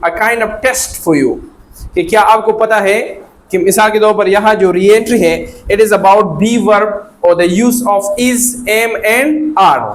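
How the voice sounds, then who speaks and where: A man teaching grammar in a classroom, repeating points and prompting students with questions. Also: high voice. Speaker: English teacher. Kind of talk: interactive classroom grammar lesson.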